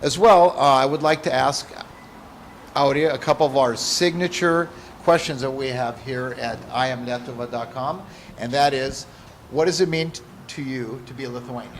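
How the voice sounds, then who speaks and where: A man's voice: medium pitch, normal speed, slight background noise. A man hosting an interview, asking short upbeat questions and closing the segment. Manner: brief, upbeat prompts.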